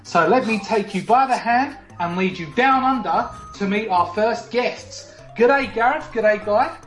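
A man's voice: sits high, unhurried. A man voicing a cocky male game show host with a put-on Australian accent, parodying a TV quiz show in a roomy room with faint music. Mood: showy. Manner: cocky, blustering, showy. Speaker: podcast host.

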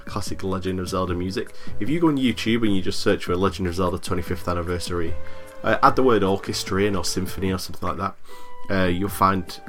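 A man's voice: sits low, quick pace, hardly any pauses, fairly bright tone, soft background music. A man hosting a podcast in casual, frank chat, talking with a bit of a cold. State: has a cold. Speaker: podcast host.

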